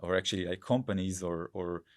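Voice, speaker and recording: A man's voice: low-pitched, brisk. A man in his thirties or forties with an Israeli accent, a Hebrew speaker explaining things step by step as a podcast guest. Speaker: guest, growth hacker. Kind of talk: podcast interview.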